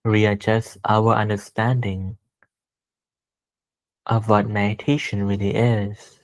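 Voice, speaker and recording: A man leading a guided meditation as a teacher, speaking gently and deliberately with pauses between short phrases. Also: fairly low pitch, flat delivery, poor audio.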